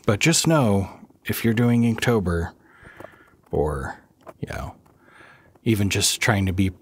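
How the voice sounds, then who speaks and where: Tired, raspy man narrating slowly. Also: low voice. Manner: slow, rambling, soft-spoken with chuckles. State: under the weather, low energy. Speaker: art channel host. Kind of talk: relaxed drawing voice-over.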